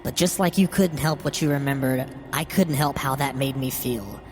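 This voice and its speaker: A man voicing a bitter, grieving old mother in a horror story, wounded and resentful, confessing haltingly. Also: mid-range voice, pitched above the performer's own voice, natural voice. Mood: bitter, resigned. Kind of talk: dramatic horror story reading. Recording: long hall reverb. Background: music.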